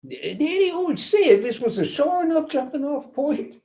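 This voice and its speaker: Stage play: woman playing fond, excitable Southern sister, notably high pitch, lively swings, folksy exclamations. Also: normal speed. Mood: fond, animated. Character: excitable, fond rural sister from coal-country hills.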